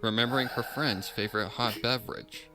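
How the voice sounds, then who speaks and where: A man's voice: medium pitch, steady tone, brisk, over music, rough recording. A man reading fan fiction expressively, as in a group voice chat, acting out the dialogue as he goes. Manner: acts out the dialogue as he reads.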